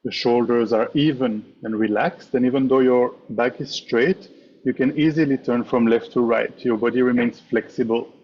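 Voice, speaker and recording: A man leading a guided meditation as a teacher, speaking in measured, instructive short phrases with pauses. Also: mid-range voice, steady tone, lo-fi sound, a little hiss or hum. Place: online meditation session over video call.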